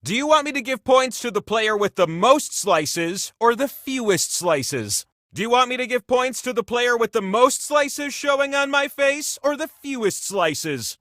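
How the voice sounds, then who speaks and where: An actor playing a talking game-show wheel, a chatty and grandiose immortal deity who hosts a video game with theatrical, playful pomp. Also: male voice, extremely high pitch, expressive, unhurried, few pauses, bright, forceful tone, no room sound, clean studio sound, full character voice. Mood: excited, urging. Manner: theatrical, playful, pompous proclamations mixed with chatty asides. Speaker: game host.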